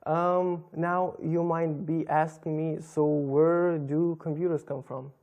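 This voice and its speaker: A young man with a Lithuanian accent, answering interview questions as a guest in a measured, explanatory way. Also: fairly high pitch, level tone, lo-fi sound. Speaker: computer business guest. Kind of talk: interview talk. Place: TV studio in a hotel.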